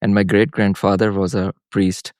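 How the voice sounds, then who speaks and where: Podcast guest, man from Pakistan, low steady voice, earnest storyteller who restarts often, dry room. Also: clean tone, dry recording. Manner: halting, frequent restarts and fillers, earnest. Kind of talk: casual conversational storytelling.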